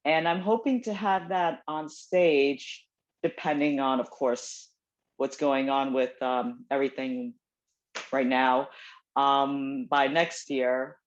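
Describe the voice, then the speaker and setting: A woman's voice: a bass voice, steady tone, takes it slowly. Chatty woman, muffled call audio. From South Korea, raised in New Jersey. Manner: chatty, many ums, self-deprecating, laughs easily. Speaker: playwright guest. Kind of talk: casual interview chat. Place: home, dog barking nearby.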